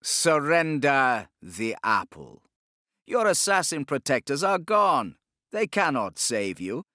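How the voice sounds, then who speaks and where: Man acting Spanish inquisitor villain, audiobook, raised pitch, smooth, slow, commanding, unbothered menace. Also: very animated, sharp tone, dry recording, studio quality, heavily acted. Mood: commanding, triumphant.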